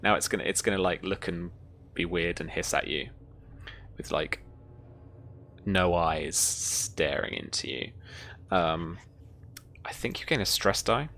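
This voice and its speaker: A deep, fry-laden voice runs a tabletop roleplaying game as game master. The delivery is informal, moving between rules talk and vivid description. There is noticeable background noise. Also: unhurried, pauses often, fairly bright tone.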